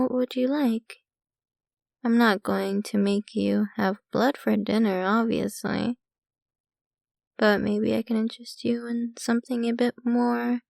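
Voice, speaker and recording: Woman voicing a rich ancient vampire girlfriend, highish voice, very dry close sound, sweetly possessive, teasing, ASMR roleplay. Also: creaky, a little mellow, no echo. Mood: doting. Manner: sweetly possessive, teasing, unhurried, addressing the listener intimately.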